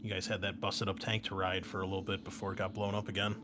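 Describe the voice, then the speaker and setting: A man's voice: low-pitched, flat delivery, quick pace, noisy background. A man hosting a tabletop game as game master, chatting casually and teasing the players. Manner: jokey, teasing.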